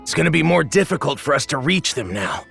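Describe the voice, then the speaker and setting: A man playing an earnest, dutiful young hero in an animated video game, serious and urgent. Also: a little high, brisk, creaky, husky, soft background music, heavily acted. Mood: serious concern.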